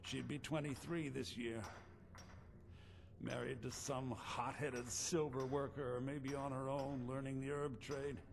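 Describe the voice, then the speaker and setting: A man's voice: medium pitch, flat delivery, measured pace, gravelly, background noise. An actor voicing a grieving, bitter middle-aged rebel prisoner in a video game, telling his story mournfully. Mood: wistful, sorrowful.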